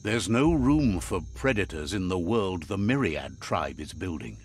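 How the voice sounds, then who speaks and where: A man's voice: low-pitched, big pitch swings, measured pace, full character voice. A man playing a solemn storybook narrator in a video game, speaking scripted lines over music.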